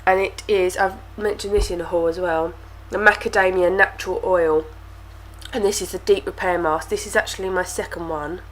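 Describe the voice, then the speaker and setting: A woman's voice: on the low side, fairly even intonation, moderate pace, low-quality recording. Chatty young woman vlogger, stuffy-nosed, rambling product talk. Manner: chatty, with lots of fillers and repeated words. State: stuffy nose from a cold. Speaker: beauty vlogger. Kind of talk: casual product review to camera.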